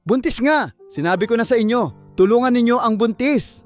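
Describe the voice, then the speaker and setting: Audiobook role: brash gossipy Filipina villager, acted by a male narrator, high loud announcing voice, faint music behind. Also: lively intonation, moderate pace. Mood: insistent alarm.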